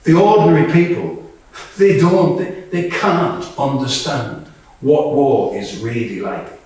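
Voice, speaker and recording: A man's voice: on the high side, unhurried, a little mellow, natural voice. Echoey, distant man reading as a war-weary soldier. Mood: anxious, candid. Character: war-weary, candid Ukrainian woman soldier, Tonya. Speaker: actor reading a monologue. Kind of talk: live dramatic monologue reading. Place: small live reading indoors. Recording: echoey room, distant microphone.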